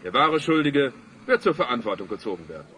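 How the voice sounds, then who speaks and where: A man's voice: medium pitch, sing-song, fast talker, a bit bright, soft background music. A man playing a self-important man of authority in a radio play, formal, stately and declamatory. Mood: commanding. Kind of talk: German radio play acting.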